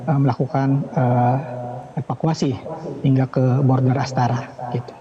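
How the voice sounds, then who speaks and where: A middle-aged man answering questions over a remote call, halting and pausing often with filler sounds. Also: mid-range voice, level tone. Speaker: evacuee interviewed by phone. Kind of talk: hesitant phone interview answers. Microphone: phone or video call. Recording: dull lo-fi remote line with crowd behind.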